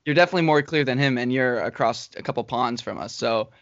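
A man's voice: medium pitch, fast, a bit bright, no echo. A young man hosting a podcast, loose and rambling with lots of fillers. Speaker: podcast host. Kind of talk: casual podcast chat. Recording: dull lo-fi dry call audio.

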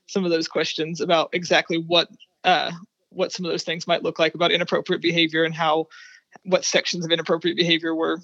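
A woman speaking as a researcher guest in an interview, explaining findings in a measured way. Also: deep voice, flat delivery, a bit bright, dry recording, lo-fi sound.

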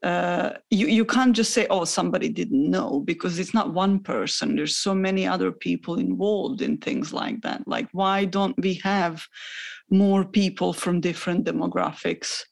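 A woman with a gravelly voice in a casual panel discussion, speaking animatedly and hesitantly, with many restarts. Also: fairly low pitch, normal speed.

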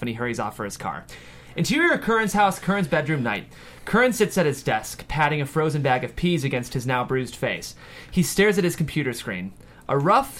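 Guy narrating a script at a table read, mid pitch, really animated. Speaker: screenplay narrator. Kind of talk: reading script stage directions aloud at a table read.

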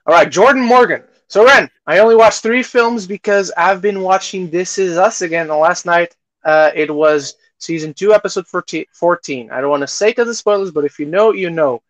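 Higher-voiced man chatting on a podcast. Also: moderate pace, fairly bright tone, dry recording, low-quality recording. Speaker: podcast co-host. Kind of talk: casual chat about movies and TV.

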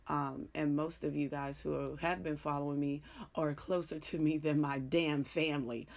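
Deep-voiced raspy woman, middle-aged sports talk host, hoarse, frank and rambling. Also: fairly even intonation, normal speed. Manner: frank, joking, rambling, with mild cursing. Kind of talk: casual sports talk monologue.

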